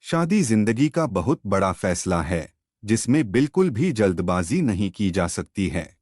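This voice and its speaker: A male narrator reads scripted stories and advice in a fairly low voice. His delivery is slow, with a very animated melody. The recording is close and dry. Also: no echo. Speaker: story channel narrator.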